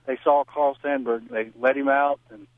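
Brief: male caller, mid pitch, meandering speech, dry tinny phone line. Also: fairly even intonation, moderate pace, dry recording. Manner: rambling, with repeated words. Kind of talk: call-in radio chat.

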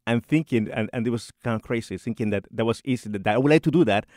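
A man's voice: medium pitch, quick pace, a little mellow, no room sound, studio quality. A middle-aged man from Rico, a composer and church organist talking in a radio interview, hesitant and full of restarts and repeated words. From Puerto Rico.

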